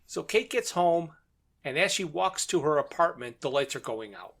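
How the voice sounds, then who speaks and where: A man with a fairly high-pitched voice, hosting a podcast. He walks through a drama episode point by point in a conversational recap, with a chuckle here and there. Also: lively intonation, normal speed, gravelly, fairly bright tone.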